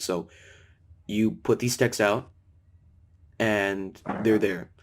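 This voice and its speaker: A man's voice: on the low side, slight background noise. Pressing, frustrated man cross-examining. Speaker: interviewer. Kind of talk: confrontational questioning.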